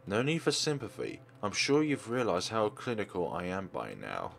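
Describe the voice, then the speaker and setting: A man's voice: on the low side, very animated, moderate pace, creaky, a bit bright, soft background music, full character voice. A man voicing a regretful, self-deprecating old man with formal phrasing, reading visual novel dialogue aloud. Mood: wistful, regretful.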